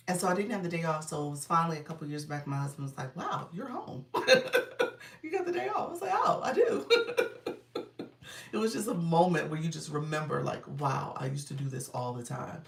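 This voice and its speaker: A woman's voice: deep voice, creaky, distant mic. A middle-aged woman with a congested voice, chatting casually on a live stream, greeting viewers by name in an upbeat way. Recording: roomy sound, from off the mic.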